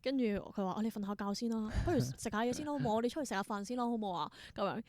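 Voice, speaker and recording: A woman's voice: a little high, rapid-fire, a little mellow, no room sound. A young adult woman with a Hong Kong Cantonese accent, telling stories casually as an interview guest and acting out bits of dialogue. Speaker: podcast guest, theatre performer.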